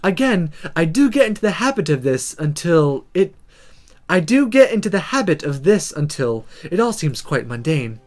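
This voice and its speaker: A performer voicing a calm, polite, unruffled female magic teacher from a visual novel. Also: mid-range voice, moderate pace, close to an everyday voice. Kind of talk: visual novel read-aloud character voice.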